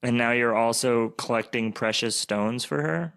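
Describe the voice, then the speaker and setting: A man's voice: mid-range voice, normal speed, creaky, a bit bright, clean tone. A man playing a skeptical, probing teenage girl in a radio play.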